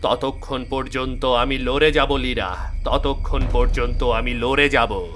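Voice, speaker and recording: A man's voice: medium pitch, fairly even intonation, measured pace, a bit bright, a little hiss or hum, close to an everyday voice. A man voicing a devoted, burdened fairy-kingdom guard in a cartoon, speaking earnestly and formally. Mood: earnest, resolute. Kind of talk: dramatic fairy-tale cartoon dialogue.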